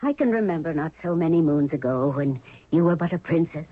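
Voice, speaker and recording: An actress playing a motherly, knowing old queen in a 1970s radio drama, weary and deliberate. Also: low-pitched, expressive, husky, no echo. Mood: fond. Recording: narrow, tinny 1970s radio recording.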